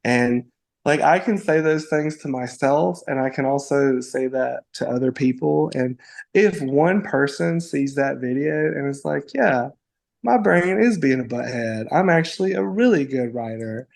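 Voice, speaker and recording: A young adult podcast guest in casual conversation, playful and bubbly, with lots of likes and you-knows. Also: male voice, medium pitch, expressive, measured pace, gravelly, a little mellow.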